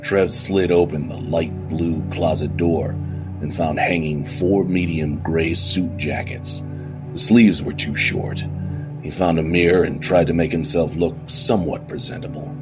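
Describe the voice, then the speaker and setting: Casting: male narrator for a noir radio drama, extremely deep voice, steady reading, music bed. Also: measured pace. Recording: music under the voice.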